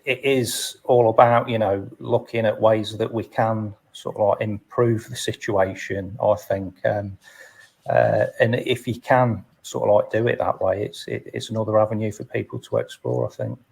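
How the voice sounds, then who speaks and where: A man's voice: lowish voice, flat delivery, normal speed, vocal fry, darkish tone, husky. A British man hosting a casual live interview, speaking in a hesitant, self-effacing way and repeating words. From United Kingdom. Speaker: host of a live chat.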